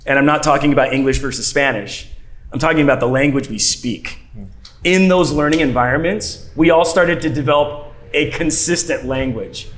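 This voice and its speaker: A man speaking on a conference panel, telling a workplace story with animated, shifting pace in a room with an audience. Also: on the high side, normal speed, slight background noise.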